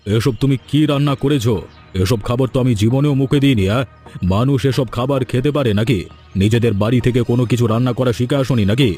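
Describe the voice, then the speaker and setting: Guy doing a fussy village father-in-law in a cartoon, talks fast, mellow voice, irritable and easily swayed. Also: mid-range voice, fairly even intonation, faint music behind, natural voice. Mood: irritated, scolding. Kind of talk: animated cartoon story dialogue.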